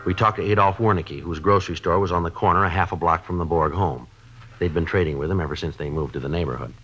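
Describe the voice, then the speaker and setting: An American actor playing a terse, level-headed police sergeant in an old radio drama, clipped and deadpan. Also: male voice, low voice, fast, husky. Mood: deadpan, grave. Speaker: detective narrator. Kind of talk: 1950s radio drama, scripted narration. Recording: dull old radio recording, music behind.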